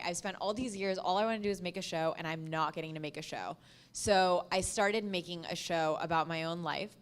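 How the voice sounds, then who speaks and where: A woman's voice: on the low side, fairly bright tone, clean tone. A young American woman, a podcast producer, telling her career story casually at a panel with a blunt, wry manner. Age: young adult.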